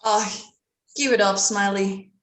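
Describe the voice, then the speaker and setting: A woman's voice: medium pitch, steady tone, slow, fairly bright tone. A woman playing a self-reliant, unimpressed neighborhood woman in a screenplay table read. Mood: exasperated. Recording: faintly noisy home recording.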